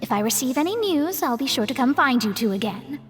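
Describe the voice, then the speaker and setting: A woman's voice: high-pitched, sing-song, fairly quick, big-room sound, soft background music. A woman voicing a proud, studious young astrologer in a video game, explaining things in a precise, self-important manner. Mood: sheepish. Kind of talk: animated game dialogue acting.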